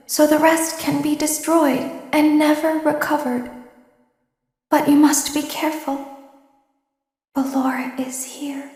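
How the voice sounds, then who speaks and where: A woman voicing a calm, manipulative animatronic robot girl from a game, speaking in slow, measured tones that often drop to hushed whispers. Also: high voice. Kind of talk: fan voice acting of game lines. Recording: roomy echo.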